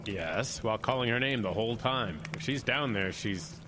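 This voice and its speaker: A man's voice: lowish voice, normal speed, close to an everyday voice. An actor playing an earnest, worried male park ranger, plainspoken and urgent, in an audio drama. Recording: noisy storm ambience behind.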